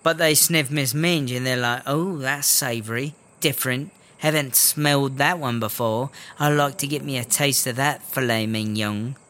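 Man playing a bright, brash, foul-mouthed English battle rapper. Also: mid-range voice, normal speed, hardly any pauses, lightly acted. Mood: mock indignant. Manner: crude, sarcastic, slangy ranting. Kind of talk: comedy audio drama acting.

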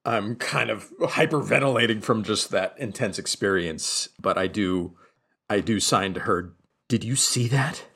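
Male game player, fairly low voice, casual and halting, feeling his way through in-character choices. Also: creaky, pushed and bright, breathy edge. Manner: halting, repeats words as he thinks aloud. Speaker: tabletop role-playing game player.

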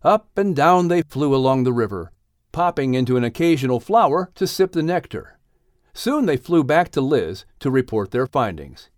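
Guy narrating a kids audiobook, really expressive, mid pitch, dry studio-tight sound. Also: moderate pace, dry recording. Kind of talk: animated scripted children's storytelling.

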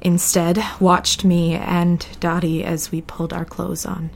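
A woman's voice: fairly low pitch, flat delivery, a little slow, gravelly, a little hiss or hum. A woman playing an anguished, defiant teenage girl in love, reading her diary in a dramatic monologue. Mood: bitter, pained.

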